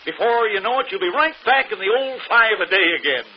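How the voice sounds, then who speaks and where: An actor playing a proud, hopeful, middle-aged vaudeville has-been in stagy, excitable 1940s radio style. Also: male voice, high-pitched, fairly quick. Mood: coaxing. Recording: muffled, noisy old radio broadcast.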